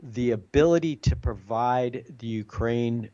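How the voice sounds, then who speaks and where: A man's voice: mid-range voice, a little slow, mellow, dull audio. A middle-aged man who is a retired army intelligence officer, giving earnest political commentary as a radio guest and often restarting his sentences. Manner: earnest, stop-start, repeats words while thinking.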